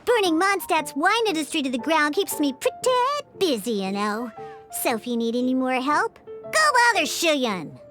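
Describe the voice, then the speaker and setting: A woman's voice: high-pitched, sing-song, normal speed, fairly bright tone, soft background music. A woman voicing a feisty, proud little cat-girl bartender in a video game, blunt but grudgingly encouraging. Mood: brash, prickly. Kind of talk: video game character dialogue.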